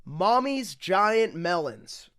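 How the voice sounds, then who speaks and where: Slow, very animated storybook reader. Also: mid-range voice, clean tone. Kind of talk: reading picture books aloud with joking asides.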